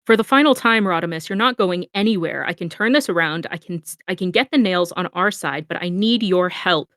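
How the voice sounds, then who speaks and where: A woman's voice: fairly low pitch, brisk, fairly bright tone, no echo, studio quality, lightly acted. A woman voicing a firm, earnest male robot second-in-command with an insistent manner in an amateur comic dub. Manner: insistent, stern.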